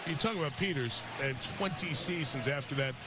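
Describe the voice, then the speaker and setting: A man with a slightly high voice delivers live football play-by-play for a broadcast. The audio is very noisy, with loud stadium ambience behind him. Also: brisk. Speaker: television play-by-play announcer. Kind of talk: live American football game commentary.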